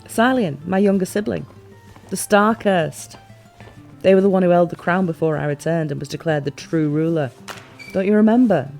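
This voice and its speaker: A playful, teasing fantasy ruler voiced by a woman in an immersive audio roleplay. Her voice sits fairly low for a woman and her phrasing is formal yet expressive, with faint music playing behind her. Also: normal speed, creaky. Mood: reflective, candid.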